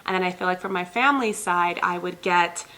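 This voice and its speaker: A woman's voice: on the low side, fairly quick, bright, forceful tone. A woman in her thirties from Ethiopia, a pediatric nurse practitioner hosting her own channel, talking earnestly to viewers with lots of ums. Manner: earnest, many ums, speaks directly to viewers. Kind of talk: personal talk to camera.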